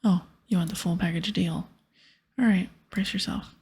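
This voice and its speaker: A woman voicing a cheeky, shifty male pirate who was once a priest, in a breathy whispered game reading. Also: fairly low pitch, steady tone, normal speed, darkish tone. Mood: sly. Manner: sly, offhand.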